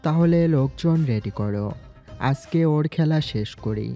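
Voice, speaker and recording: Man acting a calm young gangster boss, mellow voice. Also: medium pitch, unhurried. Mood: coolly menacing. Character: young male gangster boss posing as waiter, coolly ruthless. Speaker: voice actor in an amateur audio story. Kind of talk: acted audio story dialogue. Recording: background music throughout.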